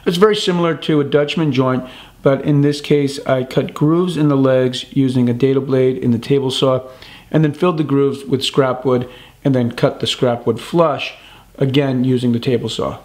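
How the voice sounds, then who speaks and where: A man in his thirties calmly walking through the steps of a woodworking project as a channel host, recorded in his workshop. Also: mid-range voice, normal speed. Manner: calm step-by-step explaining. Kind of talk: instructional workshop narration. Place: woodworking shop, indoors. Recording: echoey workshop room.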